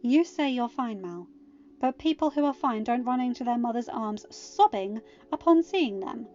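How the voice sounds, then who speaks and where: A woman voicing a gentle, perceptive mother goddess in an audiobook, probing with quiet skepticism. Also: a little high, animated, moderate pace, natural voice. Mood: gently probing. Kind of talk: acted audiobook dialogue. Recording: dull lo-fi home recording, music underneath.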